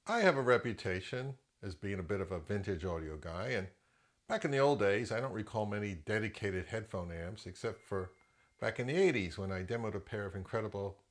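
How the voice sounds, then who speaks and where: A middle-aged man with a fairly low voice talks through audio gear in a casual review. He is conversational and freely gives his opinions, as if chatting to viewers. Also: animated, normal speed. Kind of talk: casual product review narration.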